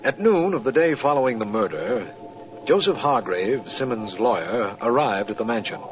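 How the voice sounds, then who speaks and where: A man's voice: mid-range voice, animated, moderate pace, close to an everyday voice. An actor playing an earnest, formal male district attorney in 1940s radio drama style. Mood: formal, narrating. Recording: muffled, noisy old radio recording.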